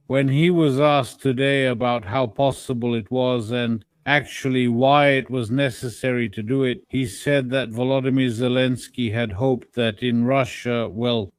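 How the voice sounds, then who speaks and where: A man in a political discussion, explaining his view in a measured way with qualifiers. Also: mid-range voice, clear, resonant tone, no echo.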